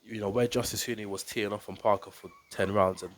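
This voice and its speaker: A man with a very low voice and a stuffy, congested nose from a cold talks through a boxing fight to camera. His delivery is level and even, measured and unhurried, with frequent ums and repeated words as he thinks aloud. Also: a little hiss or hum. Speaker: boxing coach and YouTuber. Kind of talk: casual fight breakdown to camera.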